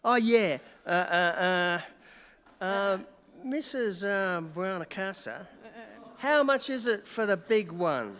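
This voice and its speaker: An actor playing a boozy, wheedling New York newspaper reporter in a 1940s radio play, halting and coaxing. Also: medium pitch, lively intonation, fairly bright tone. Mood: eager, awkward. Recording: echoey hall, music behind.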